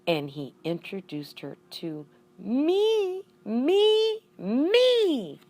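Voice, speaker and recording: A woman voicing an earnest, excitable young male pet hamster in a children's story, dramatic and emphatic. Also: high-pitched, extremely slow, pitched above the performer's own voice, exaggerated voice. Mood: thrilled. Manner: dramatic, emphatic, repeating words for effect.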